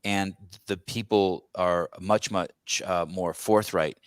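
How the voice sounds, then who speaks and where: A man hosts a podcast conversation in a deep, low voice, close and dry on the mic. He grew up speaking Armenian and talks in a blunt, animated way, stumbling over restarts as he builds his point. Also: slow, dry recording. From United States. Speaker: podcast host. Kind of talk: conversational interview.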